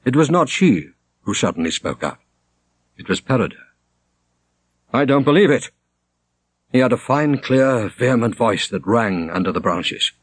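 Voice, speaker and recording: A man reading a literary story aloud as an audiobook narrator, in a theatrical, expressive manner. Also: on the low side, moderate pace, breathy edge, dry recording. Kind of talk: dramatic literary narration with character voices.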